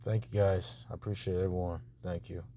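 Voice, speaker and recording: A man talking casually and haltingly, half to himself, as he reviews auditions on a screen recording. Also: sits low, little pitch movement, mellow. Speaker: audiobook producer reviewing narrator auditions. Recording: clearly noisy background.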